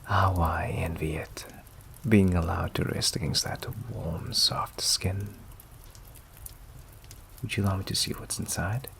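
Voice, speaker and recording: A man's voice: low-pitched, steady tone, measured pace, pauses often, fairly bright tone, exaggerated voice. A man playing a suave, possessive vampire in intimate ASMR roleplay, silky, teasing and theatrically polite, often dropping to a whisper. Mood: possessive, insistent. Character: suave possessive male vampire, menacing, seductive, courteous. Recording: clear background noise and ambience. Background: rain and fireplace ambience.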